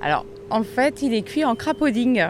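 A woman's voice: fairly high pitch, expressive, normal speed, slight background noise. A woman snack bar owner giving plain, matter-of-fact answers in a radio interview.

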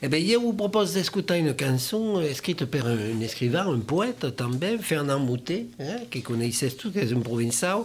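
A man's voice: on the high side, lively intonation, normal speed, few pauses, breathy edge. A man hosting a radio talk show, introducing a song in a loose, hesitant way. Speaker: radio host.

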